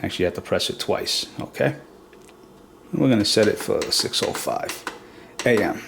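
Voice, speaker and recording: A man's voice: fairly low pitch, expressive, moderate pace, vocal fry, background noise. A man with a cold, sounding nasal and stuffed up, explaining a product step by step in a casual home demo. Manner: plain step-by-step explaining with fillers. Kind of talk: casual how-to demonstration. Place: reverberant room at home.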